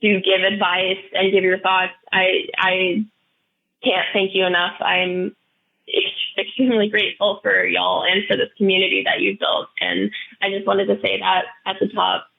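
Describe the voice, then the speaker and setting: A woman calling in to a show with a personal update, her voice hoarse and froggy, talking haltingly and earnestly with many restarts. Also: medium pitch, little pitch movement. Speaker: caller. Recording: tinny phone line.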